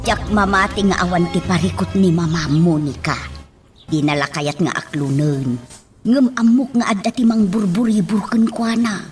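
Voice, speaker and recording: An actress playing a worried, devoted household nanny in a radio drama. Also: female voice, lowish voice, normal speed, far from the mic, soft background music, close to an everyday voice.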